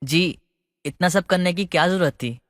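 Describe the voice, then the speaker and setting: A man's voice: high voice, moderate pace, fairly bright tone, no echo, clean studio sound, long reverb tail. A man voicing an eager, bashful young newlywed husband in a family story. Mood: flustered.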